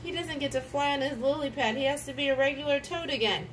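Woman, highish voice, casual picture-book read-aloud, exclamatory, questioning, picked up from a distance. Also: quick pace. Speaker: adult reading a picture book with a child. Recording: distant mic with clear background noise.

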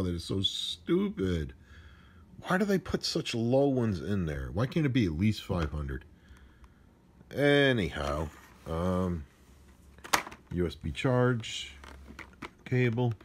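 Grumbling man with a very low voice. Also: darkish tone. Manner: grumbling, sarcastic. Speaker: unboxing vlogger. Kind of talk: casual off-the-cuff unboxing commentary. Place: indoors at a wooden desk. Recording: noisy handheld recording with handling clicks.

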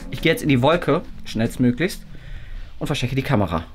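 A man's voice: medium pitch, big pitch swings, normal speed. A man in his thirties chatting casually as host of an online video. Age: 30s. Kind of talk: casual vlog chat. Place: indoor office rooms and stairwell. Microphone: handheld or propped vlog camera. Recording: echoey room, loud music behind. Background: music.

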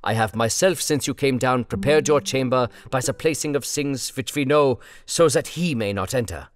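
A man's voice: medium pitch, moderate pace, natural voice. A man reading an audiobook, voicing an elderly, kindly professor with solemn, old-world formality. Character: elderly learned male professor, grave and kindly.

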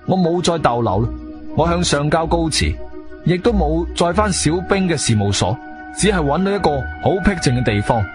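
A man's voice: fairly high pitch, animated, normal speed, close to an everyday voice. An actor with a Guangzhou Cantonese accent playing an inquisitive, self-assured investigator who tells his own story in a theatrical radio drama style. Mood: reflective narration. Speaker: narrator and lead actor. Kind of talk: Cantonese radio drama, first-person narration and dialogue. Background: music.

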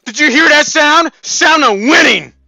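Over-excited taunting shooter-game announcer played by a man, extremely shrill and forceful, bone-dry recording. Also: a little slow, gravelly, no room sound, exaggerated voice. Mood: gleeful taunting. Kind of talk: shouted game voice lines.

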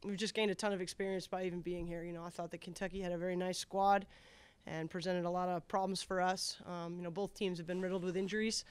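A middle-aged woman coach answering press questions after a game at a table mic, steady and measured, with many filler words. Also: lowish voice, clear, resonant tone, no room sound. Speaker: head soccer coach. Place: press conference room at a table. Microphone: table microphone.

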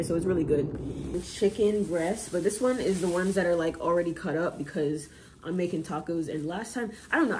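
A young woman shows her groceries to a camera in her home kitchen, rambling in slangy, offhand chatter. Her voice sits low and mellow, not bright. A faint hum of room noise runs underneath. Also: poor audio. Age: young adult. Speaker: vlogger. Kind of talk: casual grocery haul vlog.

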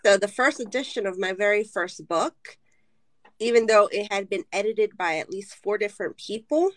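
A woman whose first language is Spanish, telling her story as an author on a casual video call with lively candor. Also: medium pitch, moderate pace, no echo. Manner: animated, candid storytelling. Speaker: author guest. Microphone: video call webcam.

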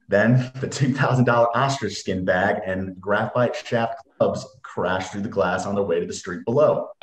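A man reading scripted stage directions as narrator, recorded over a video call. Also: lowish voice. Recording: roomy home video-call audio.

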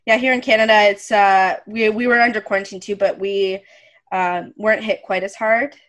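A woman's voice: mid-range voice, steady tone, moderate pace, a bit bright. A woman hosting a podcast interview over a headset from home, friendly and hesitant with many ums. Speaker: podcast host. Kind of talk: casual interview host. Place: room at home.

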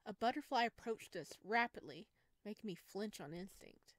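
A woman streamer reading a visual novel aloud in expressive scripted narration, close to the microphone. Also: mid-range voice, normal speed, no room sound.

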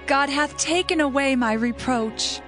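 High-voiced devout biblical mother. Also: a little slow, music in the background. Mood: thankful. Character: Rachel, devout new mother.

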